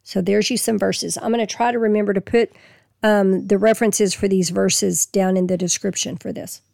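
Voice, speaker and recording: Woman Bible teacher, hoarse and folksy, reads verses slowly, gravelly voice. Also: mid-range voice, steady tone, darkish tone, no echo, studio quality. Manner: folksy, reads scripture slowly then explains plainly. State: losing her voice. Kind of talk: casual Bible teaching with scripture reading.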